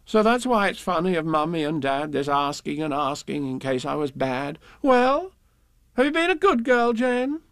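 A man acts a little girl reciting a children's poem. Her voice is high and lively, with the puzzled, put-upon air of a well-behaved child. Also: moderate pace, clear, resonant tone. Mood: exasperated.

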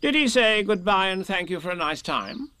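A bossy storybook rabbit talks in a high, strained-up voice. He is brisk and very pleased with his own importance, leaning hard on his words with wide swings of pitch. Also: big pitch swings, bright, forceful tone, smooth, exaggerated voice. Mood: indignant. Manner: brisk, pompous, emphatic.

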